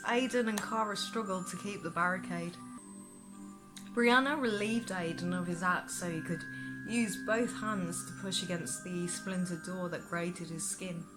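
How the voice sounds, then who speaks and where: A woman author reading her own fantasy novel aloud as expressive audiobook narration. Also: on the low side, rough recording. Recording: prominent music behind the narration. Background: music.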